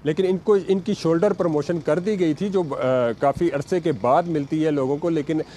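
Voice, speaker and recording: A man with a Pakistani accent giving an unscripted news report, halting and hesitant, with frequent filler sounds. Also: on the high side, warm tone, slight background noise. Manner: halting, hesitant delivery with repeated filler words.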